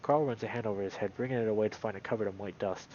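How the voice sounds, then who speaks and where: A man streaming a visual novel, moving between read-aloud narration and casual, animated commentary. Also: on the low side, racing, highs cut off, a little hiss or hum.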